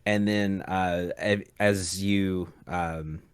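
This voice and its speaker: A man explains things in an informal panel chat. His voice is fairly low, recorded dry with hardly any room sound. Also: steady tone, very slow, clear, resonant tone, no echo. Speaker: panelist, game master. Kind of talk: casual explanation in roundtable chat.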